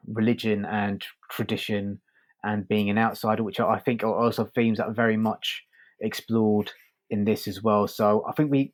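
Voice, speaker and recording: A man co-hosting a podcast, chatting casually about a review and thinking aloud in a halting way. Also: on the low side. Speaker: podcast co-host reviewing audio drama.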